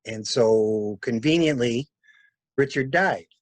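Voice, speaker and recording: A man's voice: mid-range voice, slow pace, no echo, natural voice. A man acting a shrewd, blunt male traveler in a mystery drama reading, with clipped, wry, probing delivery. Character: male stranded traveler, shrewd, blunt, coolly inquisitive stranger.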